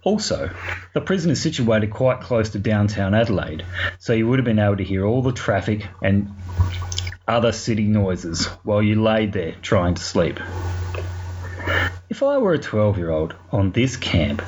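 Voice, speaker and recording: A man's voice: fairly low pitch, darkish tone. A man with an Australian accent telling a story informally for a podcast, in a colloquial, sweary, wry way. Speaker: podcast segment contributor. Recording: muffled lo-fi recording, clear background noise.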